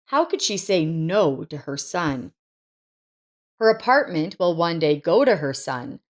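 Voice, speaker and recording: A woman's voice: on the low side, sing-song, measured pace. A woman reading a scripted family drama story as its narrator.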